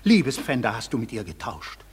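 An actor playing a stern, aggrieved father in a classical verse radio drama, declaiming indignantly with a husky voice. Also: mid-range voice, big pitch swings, fairly quick. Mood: indignant, accusing. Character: stern, aggrieved father demanding his paternal rights. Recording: hall-like reverb.

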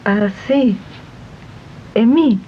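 A woman's voice: extremely slow, a little hiss or hum. A woman playing a proud, naive, ageing housemaid in a radio drama, with a homely manner and an Argentine accent. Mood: deferential, flustered. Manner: dismissive, homely, indignant. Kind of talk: 1980s amateur radio drama acting. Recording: dull, rumbling archive radio tape.

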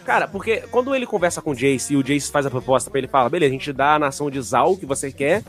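A man's voice: medium pitch, animated, fast talker, soft background music. A man with a slight lisp, co-hosting a casual podcast chat, swearing and laughing often as he argues his point. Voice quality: mild speech impediment. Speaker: podcast co-host.

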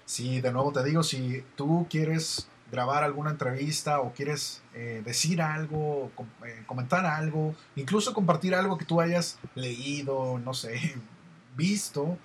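A man's voice: fairly high pitch, unhurried, slight background noise. A man with a Mexican accent hosting an online radio show, chatty and meandering as he reads listener messages. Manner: chatty, meandering, many fillers. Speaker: radio host.

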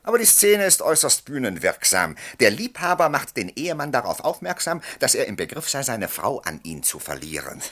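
A man's voice: mid-range voice, very animated, fairly quick, few pauses, a bit bright. A man playing a self-assured, condescending husband in a German radio play, speaking in an ironic, articulate way. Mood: amused condescension.

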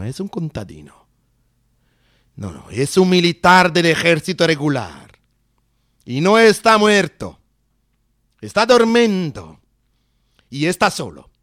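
A man playing a blind old Colombian priest figure with a Spanish accent, telling a story slowly and dramatically in a stage monologue. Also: fairly high pitch, fairly bright tone, no room sound, clean studio sound, voice pushed up, full character voice. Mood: agitated. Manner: slow, dramatic storytelling mixing Italian with Spanish.

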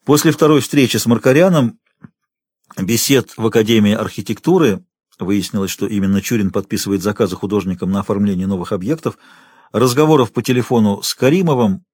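A man's voice: fairly low pitch, fairly quick, a little mellow, no echo, clean studio sound. A man reading a first-person literary story as an audiobook narrator, expressively shifting tone as he goes.

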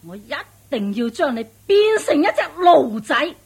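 Very shrill, shrewish Cantonese witch woman. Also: big pitch swings. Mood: menacing. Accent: Hong Kong Cantonese. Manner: nagging, taunting, drawn-out emphatic delivery. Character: shrewish, domineering witch woman, scolding and vengeful. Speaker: radio drama actress. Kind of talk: theatrical radio drama acting.

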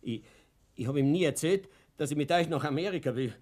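An actor playing an elderly, cunning but naive father in a radio play, telling his story excitably. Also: fairly high pitch, a little fast, close to an everyday voice. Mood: crestfallen, halting. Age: older. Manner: excitable, storytelling, swinging from chuckling to hushed. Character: elderly father, gleefully cunning, naive, long-suffering underdog. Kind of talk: German-language radio play acting.

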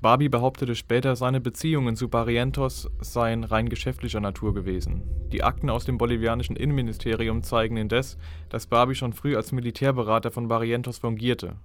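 Medium-pitched man giving factual commentary. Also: steady tone, fairly quick. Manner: factual, explanatory. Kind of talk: documentary commentary.

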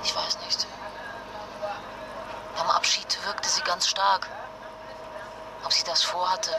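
A woman's voice: lowish voice, level tone, slow, very noisy. An actress playing a distraught young mother fleeing disaster with a sick child, speaking with emotional, urgent intimacy in a naturalistic radio drama. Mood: subdued, tender.

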